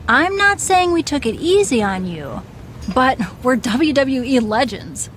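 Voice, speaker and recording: A woman's voice: high voice, sing-song, unhurried, a bit bright, slight background noise, heavily acted. A woman playing a bossy, seasoned wrestling legend in video game dialogue, firm, matter-of-fact and wry. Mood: frank, firm.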